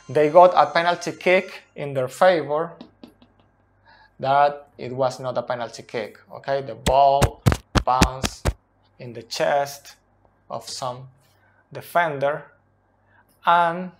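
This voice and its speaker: A man in his thirties, a native Spanish speaker, gives an unscripted soccer explainer in slow, halting, deliberate second-language speech. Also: mid-range voice. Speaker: video host, soccer analyst. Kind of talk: unscripted explainer at a tactics board. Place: small indoor room at a whiteboard. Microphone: cell phone at close distance.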